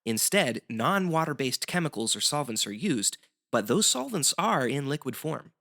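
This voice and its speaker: A man with a bright, lively voice that dips into a creaky edge. He explains history as a show guest, articulate and wry, in the manner of scripted educational banter. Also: medium pitch, moderate pace.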